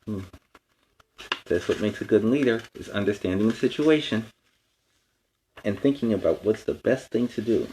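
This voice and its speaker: Animated man reading to children. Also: on the low side, moderate pace, pauses often, a little hiss or hum, low-quality recording. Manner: explains words and lessons to young listeners; playful, in a superhero persona. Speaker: children's story time host. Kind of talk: animated children's storybook read-aloud with chatty asides.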